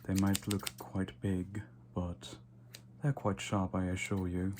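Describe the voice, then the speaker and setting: A man playing a casual, fussy, slightly snobbish vampire barber in an ASMR roleplay, murmuring softly and drifting into whispers. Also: deep voice, flat delivery, slow, noisy background. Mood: casually condescending. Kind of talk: ASMR roleplay, soft close personal attention.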